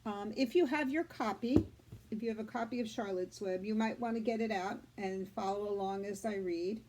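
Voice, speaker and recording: A woman doing a read-aloud for young children, explaining simply with deliberate emphasis, like a teacher. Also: medium pitch, warm tone, slight background noise. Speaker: children's story reader, school media specialist.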